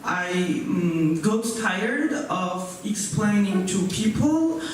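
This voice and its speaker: High-voiced hesitant man, echoey hall. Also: very animated. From Georgia. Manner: hesitant, many pauses and filler sounds. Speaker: conference panelist, researcher. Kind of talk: panel discussion talk. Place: conference hall. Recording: echoey hall, distant mic, faint noise.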